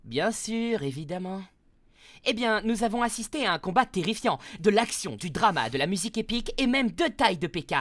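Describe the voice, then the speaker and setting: A man's voice: high voice, sing-song, brisk, a bit bright, no echo, studio quality. A man voicing a cheeky, biased female co-commentator in a cartoon fan dub, smug and teasing.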